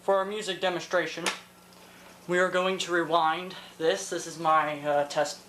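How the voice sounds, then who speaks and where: A woman's voice: fairly low pitch, flat delivery, moderate pace, a bit bright. A seventeen-year-old young woman giving a casual, unscripted equipment demonstration, hesitant and thinking aloud. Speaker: vintage tech hobbyist demonstrating a tape recorder. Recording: roomy echo with faint background noise.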